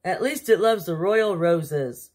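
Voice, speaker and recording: A woman's voice: lowish voice, takes it slowly, darkish tone. A woman voicing an earnest, fretful storybook king in an emphatic, theatrical manner. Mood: reassuring.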